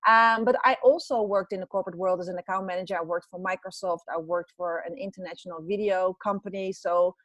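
A woman's voice: fairly low pitch, steady tone, quick pace, hardly any pauses, fairly bright tone, dry recording. A woman in her forties with a Dutch accent, chatting as a podcast guest with many fillers and restarts. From Netherlands. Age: 40s. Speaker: podcast guest, business coach. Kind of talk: casual interview chat.

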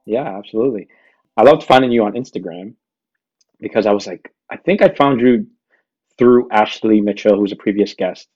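Guy hosting a podcast, kinda low voice, casual slangy chat, audio a bit muffled. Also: normal speed, darkish tone. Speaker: podcast host. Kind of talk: casual conversational interview.

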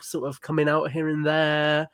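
Man, fairly high voice, casual podcast guest, enthusiastic, dry close sound. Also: monotone, moderate pace, fairly bright tone, clear, resonant tone, dry recording.

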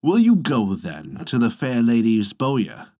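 A storyteller voicing a solemn, fatherly thunder god with grave, slow, old-fashioned storybook phrasing. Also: medium pitch, wildly swooping pitch, darkish tone, dry recording, studio quality. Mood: grave, commanding. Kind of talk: storybook reading.

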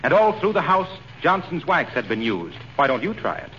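Eager ad man, cheery, very animated pitch, muffled old 1940s radio comedy. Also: moderate pace, slight background noise. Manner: emphatic, polished salesman delivery. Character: eager, cheery, self-satisfied advertising pitchman.

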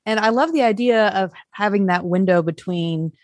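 A woman's voice: medium pitch, normal speed, darkish tone, no echo, studio quality. A woman hosting an interview podcast, enthusiastic and affirming as she sets up questions for her guest. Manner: enthusiastic, frequent "I love it". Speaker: podcast host.

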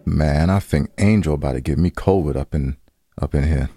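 A man's voice: a bass voice, moderate pace, warm tone, a little hiss or hum. A man co-hosting a podcast in casual, colloquial banter with a teasing manner. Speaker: podcast co-host.

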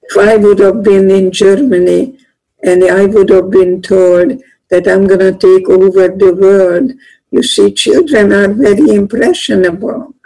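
Gravelly older woman. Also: mid-range voice, steady tone, measured pace, dark tone, rough recording. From Hungary. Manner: earnest, plainspoken, slightly non-native phrasing. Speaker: guest author. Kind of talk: conversational interview.

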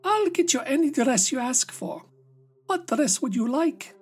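A man's voice: very high voice, sing-song, unhurried, slightly rough, pitched above the performer's own voice, heavily acted. A man voicing a kindly old henwife in a storybook fairy tale, soothing and coaxing.

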